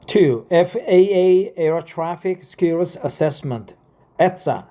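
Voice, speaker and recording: A man in his fifties speaks English with a Korean accent, at a slow pace and in a slightly high, mellow voice. He reads points out deliberately, then drifts into halting, casual asides, repeating phrases as he goes. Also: lively intonation. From South Korea. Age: 50s. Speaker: vlogger explaining a career path. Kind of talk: reading aloud from notes with casual personal asides.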